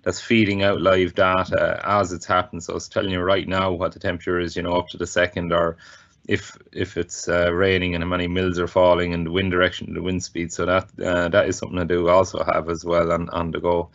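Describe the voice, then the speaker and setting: A man with an Irish accent and a low-pitched voice explains things in casual conversation. He speaks haltingly, feeling his way through phrases. The sound is dull and lo-fi. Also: monotone, gravelly, a bit bright. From Ireland. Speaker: guest contributor, volunteer weather observer.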